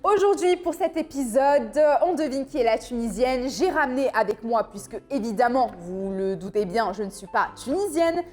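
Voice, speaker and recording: A woman hosting a guessing game, presenting with a playful, lively manner. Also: on the high side, moderate pace, hardly any pauses, soft background music. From of Turkish and Moroccan descent. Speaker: host.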